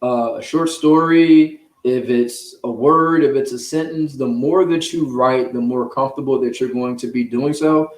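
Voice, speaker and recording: A man's voice: medium pitch, normal speed, few pauses. A man speaking as a guest author, earnest and encouraging in casual, motivational interview talk. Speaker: children's book author, guest. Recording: roomy, echoing sound.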